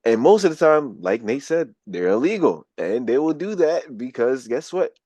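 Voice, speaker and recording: A man's voice: medium pitch, sing-song, normal speed, mellow, no echo. A young American man co-hosting a podcast, talking bluntly and profanely in a casual chat. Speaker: podcast co-host.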